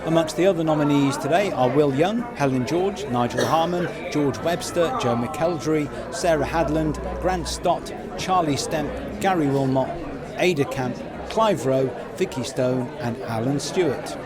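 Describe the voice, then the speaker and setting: A man hosts a podcast from a red carpet, upbeat and gushing as he leads the interview. His voice sits slightly high, and a loud crowd buzzes around him the whole time. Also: normal speed.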